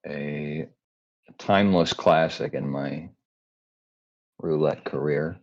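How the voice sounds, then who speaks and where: A man with a deep voice giving casual, laid-back live commentary on a game match, thinking aloud with fillers. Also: fairly even intonation, slow pace, muffled recording. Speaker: tournament commentator. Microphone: home streaming mic, lower quality.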